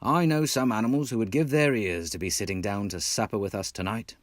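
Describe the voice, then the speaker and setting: A man voicing a cheery, brisk male rat in a storybook, hearty and encouraging, with a put-on British accent. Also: lowish voice, no echo, studio quality, natural voice. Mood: cheerful. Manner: hearty, exclaiming, bossily encouraging.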